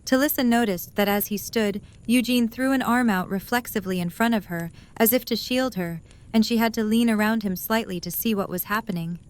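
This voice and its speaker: A woman's voice: fairly high pitch, brisk, low-quality recording. A woman reading scripted story narration as the narrator of an audio drama.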